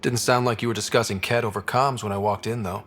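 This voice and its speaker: A man's voice: on the low side, fairly even intonation, fast talker, fairly bright tone, slight background noise, lightly acted. A man playing an earnest young space explorer in a video game, speaking in a plain, direct, easygoing way. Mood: friendly, casual.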